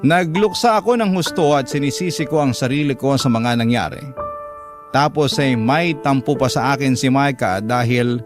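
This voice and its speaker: A man with a Filipino accent reads a dramatic first-person radio drama narration in a sorrowful, measured manner. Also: mid-range voice. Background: music.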